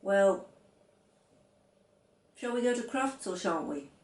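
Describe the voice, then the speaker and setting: A woman's voice: mid-range voice, lively intonation, takes it slowly, darkish tone, roomy sound. A woman reading the part of a mild, easygoing father, hesitant and placating, in a children's storybook. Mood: defensive. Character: fond father, mild, teasing and easygoing man.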